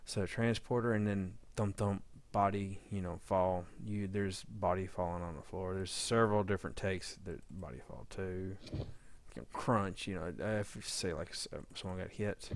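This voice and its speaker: A man giving an informal audio editing walkthrough, halting and rambling as he thinks aloud. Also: deep voice, vocal fry, slight background noise. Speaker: audio drama editor giving a demo. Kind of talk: informal software demo walkthrough.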